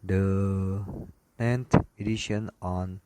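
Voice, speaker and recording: A man with a fairly low voice reads a lecture from his slides. He goes slowly and haltingly, a few words at a time, sometimes stumbling. There is clear background noise and clicking on the recording. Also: fairly even intonation. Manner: halting, word-by-word reading with stumbles. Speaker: aviation lecturer. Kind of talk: read-aloud slide lecture.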